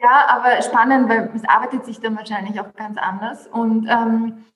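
A woman's voice: fairly high pitch, level tone, moderate pace. A woman hosting a podcast, enthusiastic and asking questions with frequent hesitations. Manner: warm and enthusiastic, hesitant "ähm" fillers in questions. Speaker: podcast host. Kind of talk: podcast interview. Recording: roomy echoey sound.

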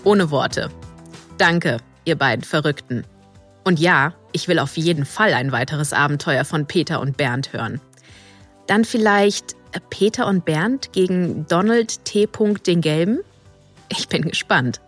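A woman's voice: low voice, animated, unhurried, a bit bright, husky, faint music behind. A woman presenting a podcast in a chatty way, addressing listeners directly. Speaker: podcast host.

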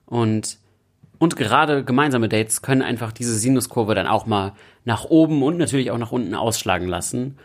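A man's voice: mid-range voice, normal speed. Young man bantering playfully on a podcast. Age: young adult. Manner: playful, self-ironic. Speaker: podcast co-host. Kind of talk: casual chat.

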